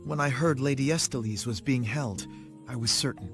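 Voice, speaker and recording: An actor playing an earnest, dutiful young knight with formal, measured speech in a fantasy video game. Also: male voice, medium pitch, over music, close to an everyday voice. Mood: solemn. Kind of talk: English game dub acting.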